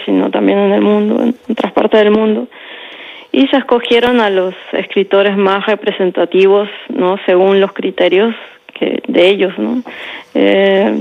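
A woman's voice: medium pitch, creaky, muffled recording. A woman, a writer answering interview questions in a reflective way, often tagging "¿no?" and repeating words. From Peru.

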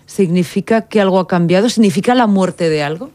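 A woman's voice: mid-range voice, brisk, dark tone, no room sound. A middle-aged woman interviewing a guest on radio, asking questions with many fillers and tag questions at a studio microphone. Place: studio at a narrow table with a chroma backdrop. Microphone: table microphone.

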